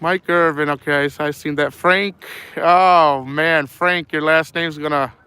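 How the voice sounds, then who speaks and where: A man's voice: fairly high pitch, steady tone, a little slow, smooth, no room sound. A young man with a sore throat and a voice that is going, hosting a casual fishing livestream outdoors by a frozen lake, rambling easily and calling everyone "man". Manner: rambling, easygoing, says "man" a lot. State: losing his voice, sore throat. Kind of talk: casual live-stream chat reading out viewer names. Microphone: phone held at arm's length. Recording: loud wind noise outdoors. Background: wind and honking geese.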